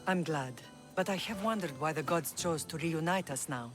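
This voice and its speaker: A woman playing a dignified older Greek mother who rules an island, grave and measured, in a video game drama. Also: low voice, over music, lightly acted. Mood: reflective, tender.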